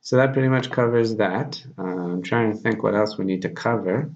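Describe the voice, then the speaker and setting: A man in his thirties presenting a software tutorial informally, thinking aloud as he demonstrates at his computer. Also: lowish voice, normal speed. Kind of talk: casual software screencast tutorial. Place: small plain room indoors. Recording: dull lo-fi audio, background noise.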